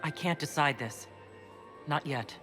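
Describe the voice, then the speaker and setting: A woman's voice: deep voice, measured pace. An actress voicing the female leader of a holy order in a video game, speaking directly and inquisitively. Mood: questioning. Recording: game dialogue over loud music.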